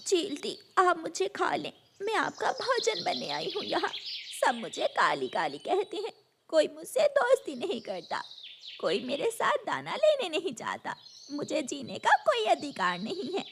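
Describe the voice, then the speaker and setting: A woman's voice: very high voice, wildly swooping pitch, unhurried, creaky, a bit bright, a little hiss or hum, voice pushed up, full character voice. A woman voicing a meek, bullied young female bird in a cartoon story, pleading and plaintive. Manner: pleading, plaintive, self-pitying.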